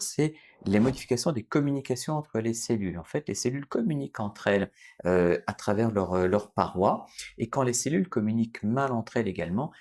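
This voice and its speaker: A man in his forties, a doctor from France, explaining a topic to his viewers in an unscripted way, pausing often to correct himself and adding fillers. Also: on the low side, a little mellow. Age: 40s. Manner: explanatory, many hesitations and self-corrections. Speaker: doctor and channel host. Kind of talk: unscripted educational talk to camera.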